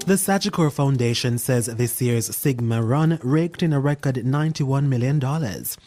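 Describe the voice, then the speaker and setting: Measured man reading business news. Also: mid-range voice, darkish tone, soft background music. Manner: crisp, measured newsreader delivery. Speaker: news presenter. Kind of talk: scripted news voice-over.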